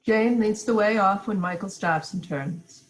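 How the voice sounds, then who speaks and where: A woman's voice: medium pitch, measured pace, rough recording. A woman reading stage directions as narrator in an amateur script read-through.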